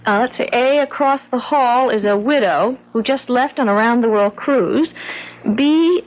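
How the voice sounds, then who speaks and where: Woman acting a high-voiced anxious young radio-drama wife. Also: a little slow, natural voice. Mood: light banter. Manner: expressive, emotive, theatrical. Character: Jane, anxious affectionate young wife in new apartment. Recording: muffled, hissy old radio drama recording.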